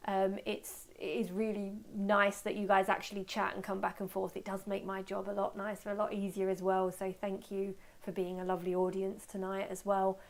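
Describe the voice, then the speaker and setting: A woman giving an informal live webinar as a workshop presenter, chatting away in an excitable, self-deprecating manner and taking audience questions. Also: medium pitch, normal speed, hardly any pauses. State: voice slightly going from talking.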